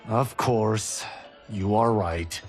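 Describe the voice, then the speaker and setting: A man's voice: on the low side, extremely slow, soft background music. An actor playing an earnest, slightly defensive young male monk. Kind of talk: video game cutscene acting.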